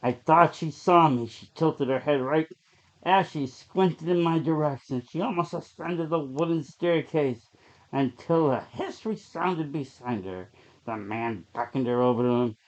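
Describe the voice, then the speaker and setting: A man reading a horror story aloud as a dramatic narrator, with deliberate, suspenseful delivery. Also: a little high. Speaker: story reader. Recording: dull, lo-fi sound.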